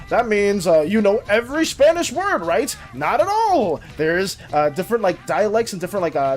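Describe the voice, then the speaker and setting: A streamer chatting casually with viewers in an animated, rambling way and reacting to chat as it comes in. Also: medium pitch. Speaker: art and game streamer.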